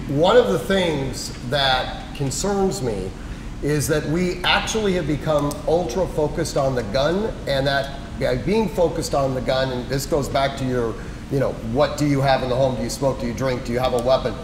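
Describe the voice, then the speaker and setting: A man moderating a panel discussion, conversational and emphatic as he makes his point. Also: medium pitch. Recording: echoey hall with background noise.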